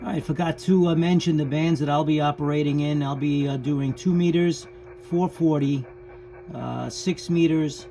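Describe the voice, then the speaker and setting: A man's voice: on the high side, steady tone, moderate pace, mellow. Man in casual vlog, noisy background. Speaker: host of a ham radio channel. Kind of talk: casual vlog talk about radio operating. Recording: clear background noise.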